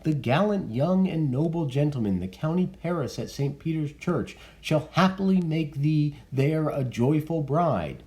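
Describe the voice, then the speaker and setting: A man reading the part of a stern, vengeful noble mother in a raised, measured theatrical voice. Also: on the high side, animated, darkish tone, pitched above the performer's own voice. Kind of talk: dramatic reading of verse.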